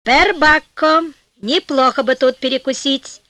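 A woman's voice: high voice, a little slow, bright, forceful tone, no echo. A woman voicing a cocky, penniless nobleman in a storybook tale, with a theatrical, mock-courteous and taunting manner. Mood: smug, courteous. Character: cocky, penniless nobleman, polite and cunning trickster.

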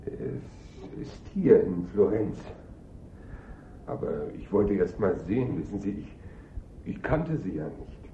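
An actor playing a nervous, shifty small-time Italian art thief in a German radio drama, halting, guarded and stubborn. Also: male voice, big pitch swings, mellow, a little hiss or hum, exaggerated voice. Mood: guarded, insistent. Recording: dull, echoey, rumbling radio recording.

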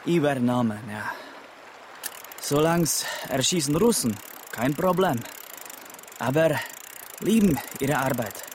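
An actor playing a laconic Czech village police chief nearing retirement, speaking halting, broken German with a Czech accent and searching for words. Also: male voice, mid-range voice, expressive, slight background noise. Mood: resigned, matter-of-fact. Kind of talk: naturalistic radio drama acting.

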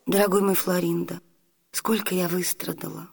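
A woman's voice: fairly low pitch, fairly even intonation, normal speed, vocal fry, slight background noise. An actress playing a spirited, commanding noblewoman in love in a classic comedy radio play, with theatrical, decisive delivery. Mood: tender. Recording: reverberant 1980s Soviet radio studio.

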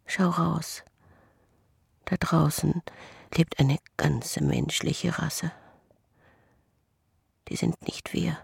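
A haunted, husky, creaking twin brother. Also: male voice, a little high, no room sound, studio quality, exaggerated voice. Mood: hushed, insistent. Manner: hushed, halting, deliberate. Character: haunted, doubting twin brother recounting a dark childhood. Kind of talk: intimate radio drama monologue.

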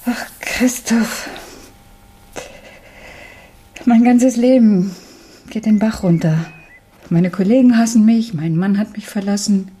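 A woman's voice: medium pitch, measured pace, dark tone, a little hiss or hum, close to an everyday voice. A woman in her forties playing a frightened, worn-down schoolteacher in a radio crime drama, speaking anxiously and hesitantly. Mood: despairing. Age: 40s. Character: distressed, frightened forty-year-old schoolteacher, worn down.

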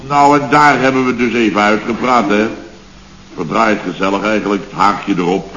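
An actor as blustering boss, muffled noisy recording. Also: male voice, on the low side, steady tone, normal speed, close to an everyday voice. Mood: smug, boastful. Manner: boastful, colloquial, indignant storytelling. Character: blustering, self-satisfied male building contractor boss. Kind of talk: Dutch radio comedy serial acting. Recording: dull, noisy old radio recording.

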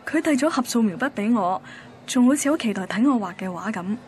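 A woman's voice: on the high side, animated, fairly quick, a little mellow, a little hiss or hum, natural voice. An actress playing a bored, wistful young woman from a radio drama, with a Hong Kong accent. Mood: curious, hesitant. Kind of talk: radio drama dialogue and narration.

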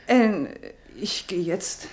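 An actress in a German radio play as a reserved, anxious family friend with a hesitant manner. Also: female voice, medium pitch, animated, takes it slowly, echoey room. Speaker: radio drama actor.

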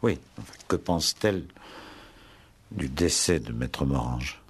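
An actor in a 1960s French radio play as a courteous, patient police inspector who questions politely but insistently. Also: male voice, very deep voice, lively intonation, measured pace, husky, exaggerated voice. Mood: dry, polite.

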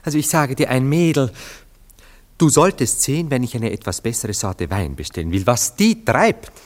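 An actor in a German radio play, playing a self-dramatizing, indecisive lover, effusive and hesitant. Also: male voice, mid-range voice, very animated, moderate pace, close to an everyday voice. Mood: self-satisfied, animated. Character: self-dramatizing, sentimental, indecisive male lover, a Viennese dandy.

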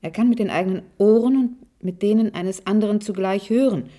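A woman playing an elderly visionary leader in a radio drama. She speaks at a medium pitch with formal authority and an insistent, impatient will. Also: brisk, dark tone, natural voice. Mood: composed, explanatory. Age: older. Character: commanding, impatient old female visionary leader. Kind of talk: radio play dialogue.

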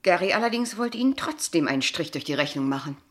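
An actress playing a disapproving kindergarten teacher in a radio play, asking pointed, reserved questions. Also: female voice, lowish voice, lively intonation, quick pace, fairly bright tone. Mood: accusing. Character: probing, disapproving female kindergarten teacher, unmarried. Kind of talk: radio play dialogue acting.

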